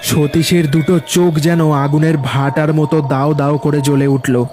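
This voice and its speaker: A man's voice: fairly high pitch, little pitch movement, measured pace. A man giving scripted, dramatic narration of a horror cartoon story. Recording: loud music behind the voice.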